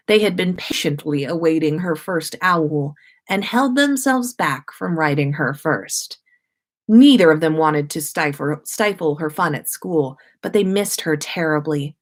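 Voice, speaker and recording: Slow-paced woman reading a story aloud. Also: fairly low pitch. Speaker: podcast host reading listener fan fiction on a livestream. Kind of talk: expressive story narration.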